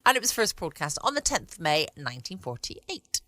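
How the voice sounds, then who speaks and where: A woman's voice: lowish voice, big pitch swings, a little fast. A woman hosting a bedtime podcast in a casual, musing, rambling way. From United Kingdom. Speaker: podcast host. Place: quiet room at home. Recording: close, dry home recording.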